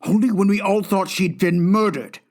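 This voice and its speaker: A man's voice: high-pitched, moderate pace, a little mellow, natural voice. A man voicing a haughty, aggrieved lord in a comic dub, pompous and argumentative. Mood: indignant. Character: haughty, indignant male lord, aggrieved suitor.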